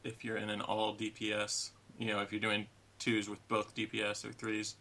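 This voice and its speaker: Guy with lots of vocal fry, bright sharp tone, casual gaming podcast, kinda tentative. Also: fairly low pitch, completely flat delivery, normal speed. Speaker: podcast co-host.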